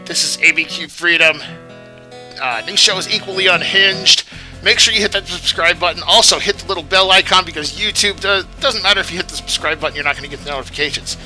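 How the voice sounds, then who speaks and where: A man's voice: high voice, fast, bright, forceful tone, soft background music. A man hosting a commentary vlog who rambles and moralizes in an indignant tone. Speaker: vlogger host.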